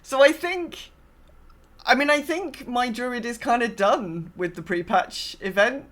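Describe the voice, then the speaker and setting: A woman's voice: on the high side, measured pace, a bit bright. A woman co-hosting a casual gaming podcast, speaking in a wry, understated and somewhat hesitant way. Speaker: podcast co-host.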